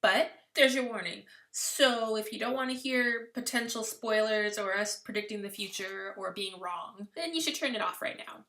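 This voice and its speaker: A woman's voice: medium pitch, moderate pace, few pauses, pushed and bright. A woman hosting a casual fan podcast, chatty and upbeat, laughing often. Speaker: podcast host.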